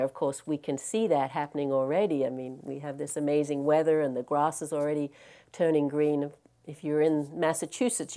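A woman from Australia with a softened Australian accent, giving a gentle, reflective dharma talk as a meditation teacher, with frequent ums and restarts. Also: sits low. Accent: Australian, mixed with American. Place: meditation hall in California.

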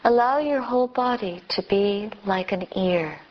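A woman teacher leading a guided meditation with gentle instructions in short, spaced phrases. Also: mid-range voice, measured pace. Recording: dull, lo-fi recording.

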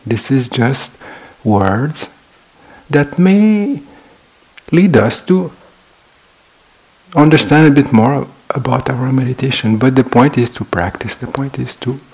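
A man guiding a meditation as a teacher, speaking in short, measured phrases with pauses between them. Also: mid-range voice, expressive, gravelly, darkish tone, slightly rough, low-quality recording. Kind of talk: guided meditation talk.

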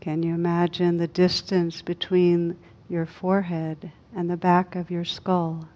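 A woman leading a guided meditation as a teacher, speaking calmly and unhurriedly with long pauses. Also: lowish voice. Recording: dull, lo-fi recording.

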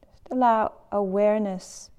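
Slow, mellow woman guiding meditation. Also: medium pitch, steady tone, clean tone. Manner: measured, gentle instruction with long pauses. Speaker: meditation teacher. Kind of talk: guided meditation.

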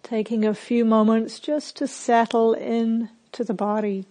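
A woman meditation teacher leading a guided meditation with gentle, unhurried instructions and pauses between phrases. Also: on the high side, level tone.

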